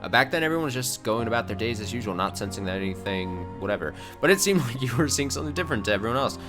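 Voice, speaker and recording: A man's voice: mid-range voice, lively intonation, rapid-fire. A man doing casual, sweary live commentary on game cutscenes, reading dialogue aloud and reacting with incredulity. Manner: sweary, incredulous, often laughing. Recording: game music playing behind. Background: video game cutscene music.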